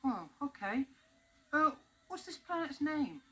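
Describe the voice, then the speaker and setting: A woman's voice: high voice, big pitch swings, measured pace, background noise. A woman voicing a jittery, curious young boy on a space trip, stammering as he talks, in a 1970s storybook record. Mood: nervous curious. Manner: stammering, hesitant.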